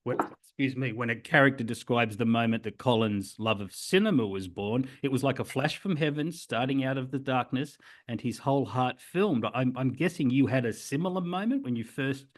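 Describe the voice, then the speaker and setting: Enthusiastic podcast host. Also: male voice, medium pitch, brisk, hardly any pauses. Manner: enthusiastic, admiring. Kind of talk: podcast interview.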